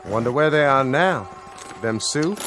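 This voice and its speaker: A man playing an impatient, gruff cavalry corporal with folksy frontier speech in a radio drama. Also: medium pitch, expressive, a little slow, music in the background, close to an everyday voice.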